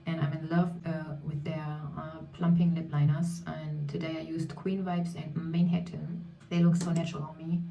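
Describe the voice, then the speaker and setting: A woman's voice: low-pitched, little pitch movement, moderate pace, gravelly, hardly any pauses, warm tone, muffled recording. A woman in her thirties, a beauty vlogger, chatting candidly while doing her makeup, speaking English as a second language and sometimes searching for words. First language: not English. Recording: echoey room, mic at a distance, faint music.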